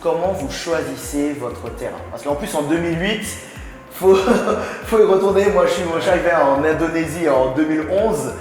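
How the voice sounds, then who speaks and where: A man's voice: on the high side, lively intonation, normal speed, darkish tone. Affirming male interview host. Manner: friendly, frequent short affirmations. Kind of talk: casual interview. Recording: echoey room with faint music.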